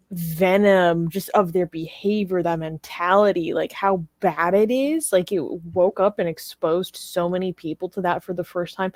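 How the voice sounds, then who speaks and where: Animated woman talking casually, close dry sound. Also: on the low side, dry recording. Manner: animated, informal, emphatic, laughs readily. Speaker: co-host of a live political talk show. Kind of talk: casual, opinionated live discussion.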